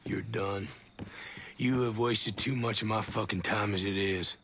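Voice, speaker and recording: An actor playing a ruthless, contemptuous special forces commander who curses and menaces, in a game cutscene. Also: male voice, on the low side, fairly even intonation, unhurried, a bit bright, a little hiss or hum. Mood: cold. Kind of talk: video game cutscene acting. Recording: game soundtrack behind.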